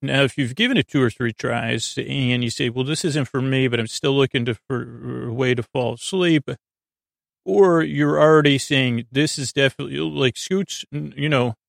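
A man hosts a sleep podcast in a creaky, mid-pitched voice with heavy fry. He rambles soothingly, halting and drifting into tangents as he goes. The sound is dry, with almost no room around the voice. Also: dry recording. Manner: meandering, halting, digressive, lulling. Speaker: podcast host.

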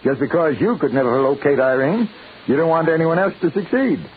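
A man's voice: fairly high pitch, a little fast, close to an everyday voice. An actor playing a stubborn, wealthy middle-aged father desperate for his lost daughter, in 1940s radio drama style. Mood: stubborn, irritated. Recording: scratchy old radio broadcast, narrow band, noisy.

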